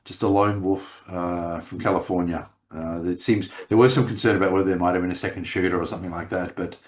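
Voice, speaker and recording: A man chats loosely over a video call. His deep voice is croaky after weeks of laryngitis, and he rambles, stumbling over repeated words. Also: fairly even intonation, fast talker, darkish tone. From Australia. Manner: rambling with many restarts. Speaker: podcast co-host. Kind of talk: casual chat.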